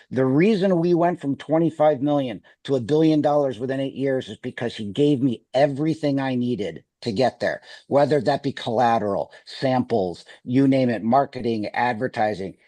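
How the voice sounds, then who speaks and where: A man's voice: mid-range voice, mellow, no echo. Middle-aged man with a Wisconsin accent, sales exec on a dry video call, rambling delivery. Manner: rambling, many restarts. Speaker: sales executive.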